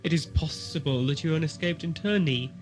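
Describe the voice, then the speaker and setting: A man playing a proud, haughty alien ambassador in an audio drama, speaking in a formal, stately, measured way. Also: on the high side, soft background music, close to an everyday voice. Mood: cool, formal. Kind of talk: amateur audio drama acting.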